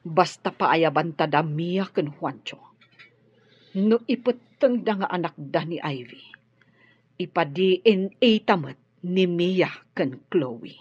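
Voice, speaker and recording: A determined mother in an Ilocano radio drama. Her voice is very husky and swings widely with feeling, with an Ilocano accent, in a dry, slightly dull recording. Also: fairly low pitch, animated, slow, a little mellow, dry recording, heavily acted. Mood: resolute. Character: determined mother seeking her lost daughter, emotional.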